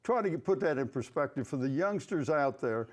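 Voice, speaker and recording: A man's voice: medium pitch, animated, brisk, a little mellow. A man hosting a conversational local sports interview, feeling his way through questions with repeated words. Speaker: sports talk show host.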